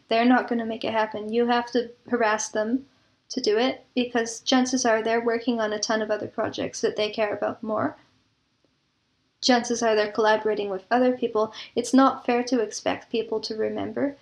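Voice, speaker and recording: Higher-pitched woman explaining in an interview. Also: flat delivery, moderate pace. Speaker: interview guest, audio drama producer and composer. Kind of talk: conversational interview talk.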